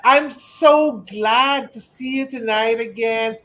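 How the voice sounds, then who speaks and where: A man's voice: extremely high pitch, takes it slowly, a bit bright. Give me a middle-aged male host gushing over his guests on a video call. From Philippines. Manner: gushing, effusive, exclaims a lot. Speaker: talk show host. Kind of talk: online interview show hosting. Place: home room with shelves. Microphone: earphone headset mic on video call.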